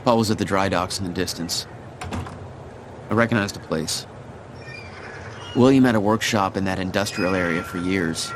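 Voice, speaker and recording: A man's voice: low-pitched, normal speed, pauses often, lightly acted. A man playing a blunt, bitter and determined hero in a video game, speaking tersely in a naturalistic style. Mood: grim. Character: determined, bitter hero out to confront his former friend. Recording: clearly noisy, vehicle and ambient sound.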